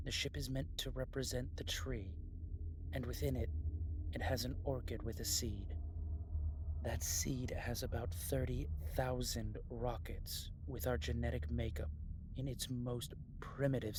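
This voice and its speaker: A man's voice: mid-range voice, unhurried, natural voice. A man voicing a blunt, determined rebel in an audio drama, explaining things matter-of-factly. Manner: plain, blunt, matter-of-fact. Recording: steady background hiss.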